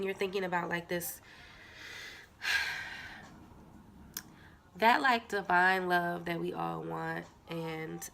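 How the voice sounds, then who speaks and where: Chatty woman reading tarot, stuffy nose, kinda low voice with lots of fry. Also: fairly bright tone, slight background noise. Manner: chatty, filler-heavy, talks straight to viewers. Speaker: tarot reader. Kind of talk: casual tarot reading to camera.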